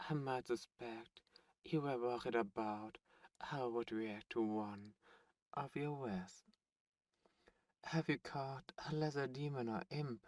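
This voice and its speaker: A man playing a haughty, teasing demon buyer in an ASMR roleplay audio drama, speaking with smug, suave deliberation and dramatic pauses. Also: medium pitch, darkish tone, no room sound, far from the mic, lightly acted.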